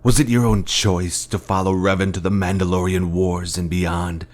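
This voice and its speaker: A man playing a grave, manipulative fallen Jedi master in a video game, speaking in a solemn, deliberate, grandiose way. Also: low voice, level tone, lightly acted. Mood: accusing, probing. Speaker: game character voice actor. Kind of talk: dramatic scripted game dialogue.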